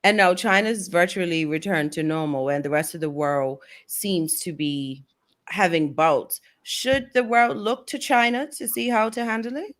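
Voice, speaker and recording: A woman hosting a talk show, asking direct, brisk questions while slightly losing her voice. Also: fairly low pitch. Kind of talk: interviewing a guest.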